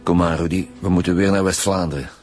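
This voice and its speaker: An actor playing a polite but insistent senior detective in a radio drama, dry and formal, with a Flemish accent. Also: male voice, deep voice, steady tone, fast, soft background music, lightly acted. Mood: wry.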